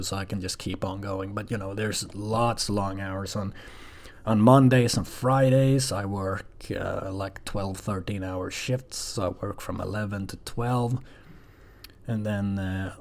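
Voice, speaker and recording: A man talking casually in a rambling personal audio log, halting and hesitant, with coarse everyday wording. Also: fairly low pitch, a little mellow. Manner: hesitant, halting, frequently swearing.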